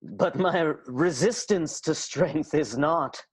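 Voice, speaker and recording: An actor playing a smitten, hammy strongman suitor, halting and flustered, in an improvised comic radio soap. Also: fairly high pitch, slightly rough. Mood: flustered, eager.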